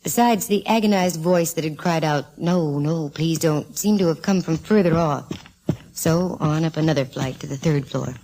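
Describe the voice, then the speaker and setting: A gutsy female private eye from a 1940s radio mystery, with a notably low voice. She is breezy and sardonic, tossing off hard-boiled wisecracks. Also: normal speed, dark tone, rough recording. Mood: wry, storytelling. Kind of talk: 1940s radio acting with first-person narration.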